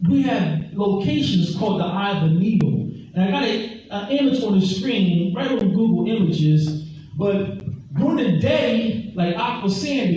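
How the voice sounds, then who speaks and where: High-voiced preacher in an echoey hall. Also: male voice, dark tone, muffled recording. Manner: emphatic, repeats scripture references, call-and-response. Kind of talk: teaching sermon. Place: large echoey hall, heard from far off. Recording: echoey hall, distant mic, faint noise.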